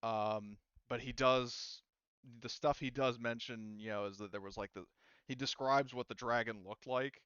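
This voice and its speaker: A man running a tabletop roleplaying game, explaining things in a halting, casual, conversational way. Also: medium pitch, fairly even intonation, a bit bright, clear, resonant tone, no echo, muffled recording. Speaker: game master.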